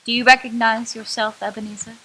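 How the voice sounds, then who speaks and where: A woman's voice: fairly high pitch, flat delivery, brisk, pushed and bright, husky, rough recording. A woman playing a solemn female spirit who guides a miser, stern and probing in radio drama acting.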